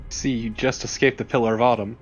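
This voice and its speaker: A man's voice: medium pitch, flat delivery, fairly quick, soft background music. A man co-commentating casually on a video game, blunt and sweary. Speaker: let's play co-commentator. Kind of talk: casual chat over a game.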